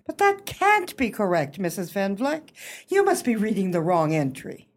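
A woman's voice: mid-range voice, huge pitch swings, normal speed. A woman acting a haughty society hostess in a radio play, with pompous, precise and formal diction. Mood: indignant. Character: haughty society hostess, bluffing book club leader.

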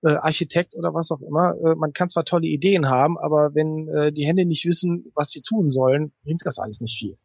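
A middle-aged man, explaining things in a conversational interview with frequent hesitant pauses. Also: on the high side, gravelly, no room sound. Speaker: interview guest, writer.

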